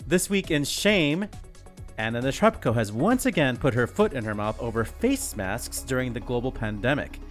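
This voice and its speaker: A man with a mid-pitched, very lively voice reads scripted news as a podcast co-host. His delivery is expressive and wry. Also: moderate pace, soft background music.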